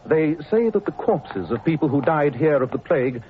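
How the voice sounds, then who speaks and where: An actor playing a brisk, commanding male detective in a 1940s radio drama. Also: on the high side, lively intonation, natural voice. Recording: muffled old radio recording with loud hiss.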